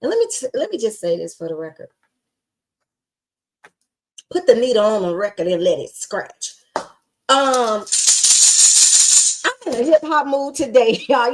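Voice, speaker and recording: A middle-aged woman hosts a podcast in a scratchy, slightly high voice. She talks in a sassy, emphatic way and preaches with plenty of slang. Her delivery stays punchy and direct. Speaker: podcast host. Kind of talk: casual motivational chat.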